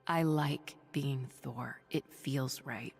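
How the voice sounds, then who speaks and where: A woman voicing a weary, noble superhero thunder goddess for a video game, confiding in measured, breathy speech. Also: extremely low pitch, long reverb tail, soft background music, exaggerated voice. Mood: weary, conflicted. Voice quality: breathy, husky. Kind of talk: video game voice acting, dramatic dialogue and audio-log monologue.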